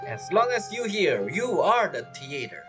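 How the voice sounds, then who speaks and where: A woman's voice: fairly low pitch, sing-song, unhurried, over music. A woman giving casual, joking commentary as she plays through a video game story. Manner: playful, joking. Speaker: gamer reacting to a story quest.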